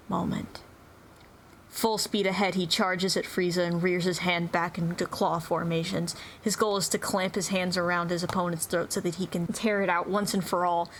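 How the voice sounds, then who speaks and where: A woman reading scripted narration dramatically, with intense, theatrical phrasing. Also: lowish voice. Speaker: player reading own turn in a dramatic roleplay reading. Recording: clearly noisy background.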